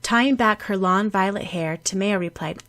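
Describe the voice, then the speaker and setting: Woman, audiobook narrator, mid-pitched voice, scripted storytelling. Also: normal speed, a bit bright.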